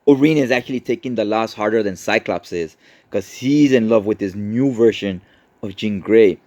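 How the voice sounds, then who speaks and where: A man's voice: on the low side, normal speed, warm tone. A man narrating a casual, conversational recap of a story, explaining it as he goes and checking in with the listener. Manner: conversational, explanatory, often adds tag questions. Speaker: comic book explainer, host.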